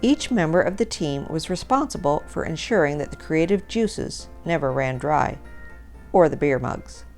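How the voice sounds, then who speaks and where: Low-voiced woman storyteller over music. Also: lively intonation, normal speed, a little mellow. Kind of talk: scripted read-aloud story narration.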